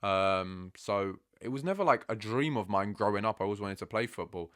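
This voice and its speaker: Young man in his twenties from England, low voice, loose and conversational, slangy football-fan Q&A. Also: a little fast. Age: 20s. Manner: relaxed, chatty, says "bro" often. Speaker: football content creator. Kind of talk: casual Q&A chat.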